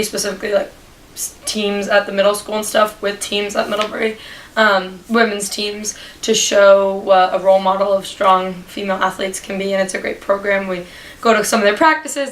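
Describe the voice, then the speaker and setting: A young American woman talks casually and brightly about her team. Her voice sits at an ordinary pitch. There is a faint hiss behind her. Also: roomy sound, rough recording. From United States. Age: young adult. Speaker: student athlete. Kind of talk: interview.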